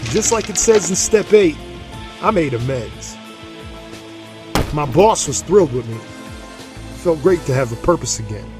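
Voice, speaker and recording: An actor playing a brawny middle-aged gang enforcer and reformed drinker, talking in a folksy, swaggering way in an audio drama. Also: male voice, on the high side, animated, a little slow, long pauses, over music. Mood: proud.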